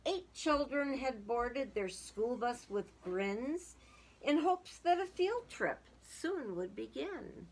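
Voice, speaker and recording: A woman with a fairly high voice reads a storybook aloud at a very unhurried pace. She gives the verse a dramatic delivery and speaks to her young listeners in simple, casual asides. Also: lively intonation, rough recording. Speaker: teacher reading aloud to young children.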